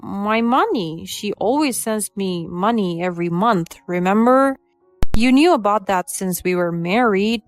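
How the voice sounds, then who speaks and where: A woman's voice: mid-range voice, lively intonation, a little slow, dry recording, faint music behind, lightly acted. A woman voicing a timid young husband who is a mama's boy, hesitant and defensive. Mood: nervous.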